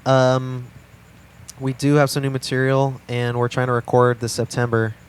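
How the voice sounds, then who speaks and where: A man's voice: mid-range voice, monotone, normal speed, smooth. A man, a band member talking easily about shows and records. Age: 20s. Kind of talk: casual interview.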